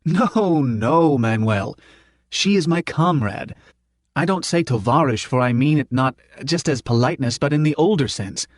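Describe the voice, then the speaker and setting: A man voicing an older male professor, gentle and courtly, who speaks with halting, fussy politeness. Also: mid-range voice, expressive, dry recording, clean studio sound, close to an everyday voice. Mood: earnest. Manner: warm, halting, fussy old-world politeness.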